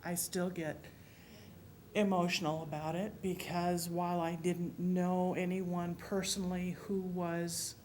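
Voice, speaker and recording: A woman's voice: a little slow, slight background noise. A middle-aged woman in an audience, speaking earnestly and with emotion about her memories. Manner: earnest, emotional, low and even. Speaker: audience member. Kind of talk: personal reflection at a public talk. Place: public library meeting room.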